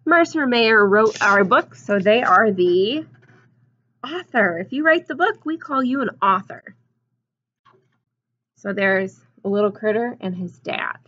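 A woman in her twenties reading a picture book aloud like a teacher, expressively, pausing to put simple questions and explanations to young children. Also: mid-range voice, expressive, fairly bright tone, dull audio. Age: 20s. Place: small classroom, indoors.

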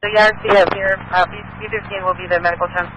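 A man's voice: high voice, flat delivery, quick pace. A man giving terse emergency traffic over a two-way radio. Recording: dull, noisy radio scanner feed.

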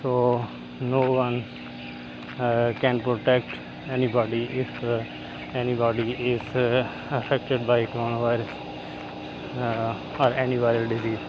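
A man with a Pakistani accent talking to viewers in a halting, hesitant way, in short broken phrases, as he vlogs outdoors on a walk. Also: medium pitch, completely flat delivery. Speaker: vlogger. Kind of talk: casual walk-and-talk monologue to viewers. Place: outdoors, walking on university grounds. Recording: muffled outdoor audio with clear noise.

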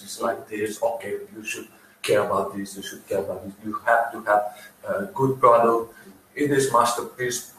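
Man, panel guest, halting delivery, distant echoey live recording. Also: on the low side, fairly bright tone, a little hiss or hum. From Slovenia. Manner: halting, searching for words. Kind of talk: panel discussion. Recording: roomy and distant live feed with faint ambience.

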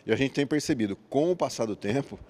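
A man in his forties, a guest business partner, giving measured interview answers with everyday examples into a handheld mic in a large indoor hall. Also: mid-range voice, lively intonation, a little mellow. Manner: measured, explanatory, uses examples.

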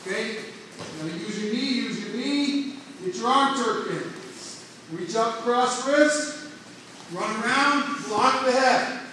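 Middle-aged male wrestling coach, high voice, instructive, talking mid-demonstration; far from the camera, booming gym, noisy. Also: slow pace, pauses often, bright, forceful tone. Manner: instructive, repeats "okay?" to check in; talks while grappling on the mat. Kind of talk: demonstrating technique to students. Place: indoor wrestling room with mats. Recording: echoey room, distant from mic.

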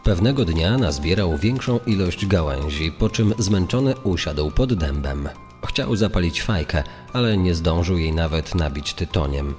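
Low-voiced male narrator with long reverb. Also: a little mellow. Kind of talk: scripted dramatic storytelling.

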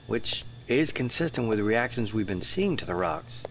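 A man's voice: medium pitch, expressive, moderate pace, slight background noise, lightly acted, poor audio. A man playing a concerned, puzzled geologist in an audio drama, full of questions.

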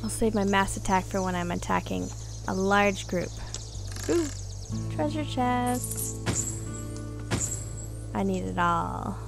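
A woman's voice: medium pitch, slow pace, vocal fry, long pauses. A woman hosting a gaming video, giving casual commentary as she plays. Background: game music.

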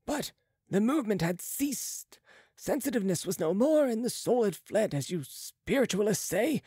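Guy doing a sneering skeptic doctor in an audiobook, high voice, preachy and swoopy. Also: sing-song, normal speed, mellow, husky, no room sound, clean studio sound, full character voice. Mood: scornful, lecturing. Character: sceptical, scornful male physician with materialist views. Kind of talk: audiobook character voice.

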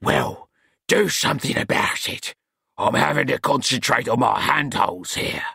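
A man's voice: mid-range voice, expressive, a little slow, gravelly, bright, forceful tone, breathy edge, no room sound, clean studio sound, exaggerated voice. A man voicing a grumpy, self-important older master in an audiobook, curt and scolding. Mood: irritated, pompous.

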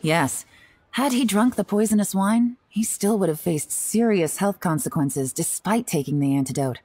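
A woman voicing a shrewd, composed young female intelligence agent in a video game, speaking in a measured, confident way. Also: mid-range voice, animated, mellow, breathy edge. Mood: cool, analytical. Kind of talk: video game dialogue acting. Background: game music.

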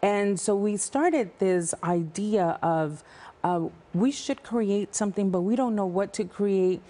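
A woman in her thirties from El Salvador, a nonprofit founder talking passionately as a guest in a TV news studio on a lapel mic. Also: mid-range voice. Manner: passionate, fast-flowing, animated hand gestures. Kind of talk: TV studio interview.

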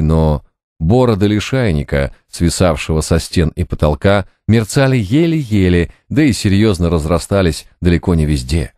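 A man narrating a fantasy novel as an audiobook, with an expressive storytelling delivery. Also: low-pitched, dry recording, studio quality. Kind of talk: scripted fiction narration. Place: dry recording studio.

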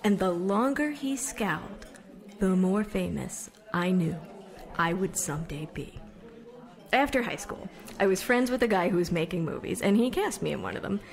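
A woman's voice: medium pitch, lively intonation, normal speed, lightly acted. Audio drama role: young American woman played by an actress, eager for fame, casual and self-deprecating, mid-range voice, conversational monologue. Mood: chatty, confiding. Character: chatty young Somerville woman set on becoming famous. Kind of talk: conversational stage storytelling monologue.

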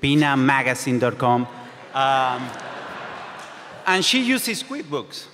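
A man's voice: medium pitch, very animated, slow, background noise. A man in his thirties, a non-native English speaker from South America, giving a conference talk as a creative director, hesitant and full of ums. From Brazil or Argentina. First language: Spanish or Portuguese. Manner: hesitant, many ums and restarts. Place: stage in a large hall. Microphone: stand mic. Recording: reverberant hall.

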